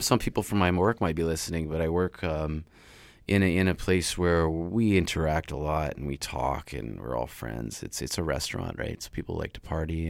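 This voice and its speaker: A man with a deep voice, a rapper talking loosely in an interview with many restarts. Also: gravelly, fairly bright tone, dry recording, clean studio sound. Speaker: rapper guest. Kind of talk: casual interview talk.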